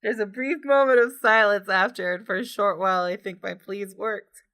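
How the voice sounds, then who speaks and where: A woman's voice: mid-range voice, normal speed. A woman doing a video game let's play, reading narration aloud dramatically and reacting with jumpy exclamations. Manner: dramatic, expressive, jumpy exclamations between read lines. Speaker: gaming YouTuber. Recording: background music under voice. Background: game music.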